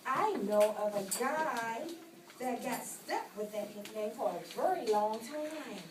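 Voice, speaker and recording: A woman's voice: fairly high pitch, animated, slow. A woman voicing a perky, earnest female puppet in a children's show, with exaggerated, preachy delivery. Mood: teasing, scolding. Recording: distant, echoey room with clear noise.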